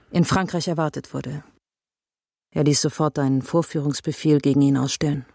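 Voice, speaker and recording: A woman's voice: sits low, warm tone, no room sound. Sober, determined woman investigator, dry sound. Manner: sober, matter-of-fact. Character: serious, determined woman investigator recounting her father's fate. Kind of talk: radio drama dialogue, measured storytelling.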